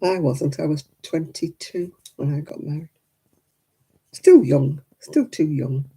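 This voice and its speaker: A woman in her seventies hosting a crafting livestream, chatting homely and warmly with viewers by name and laughing often. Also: low-pitched, takes it slowly, husky. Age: 70s. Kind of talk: casual chat reading out viewer comments.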